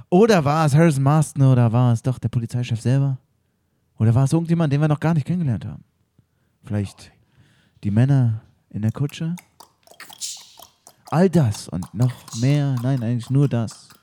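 A man's voice: mid-range voice, animated, normal speed, long pauses, mellow. A man hosting and narrating an improvised radio play in a playful, jokey way. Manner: playful, jokey, laughs often. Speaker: host and narrator.

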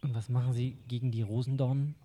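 A man's voice: mid-range voice, level tone, fast, mellow, lightly acted. A man playing a probing detective's assistant.

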